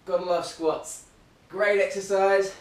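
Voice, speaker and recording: A man's voice: sits high, little pitch movement, a little slow. A man, coaching a home workout while he exercises, upbeat and encouraging, a bit out of breath. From Australia. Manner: upbeat, encouraging countdowns and cues. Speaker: running club fitness coach. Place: living room at home, indoors. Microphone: camera across the room. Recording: roomy, distant camera audio.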